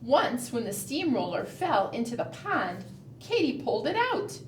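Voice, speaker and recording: Slow, high-voiced woman reading to preschoolers. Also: very animated. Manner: sing-song, addressing young children with questions. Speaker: children's librarian leading preschool storytime. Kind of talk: read-aloud storytelling and fingerplay for young children. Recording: roomy, distant mic, faint background noise.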